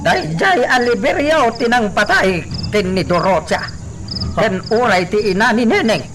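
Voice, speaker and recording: Older village man, cautioning, high animated voice, radio drama, noisy background. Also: unhurried. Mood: grave, urgent.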